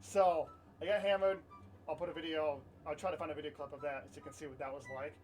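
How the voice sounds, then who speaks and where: A man's voice: on the high side, fairly even intonation, fast talker, a little mellow, far from the mic. A man telling a personal story casually to camera in an informal, rambling way, repeating himself and swearing now and then. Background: light music.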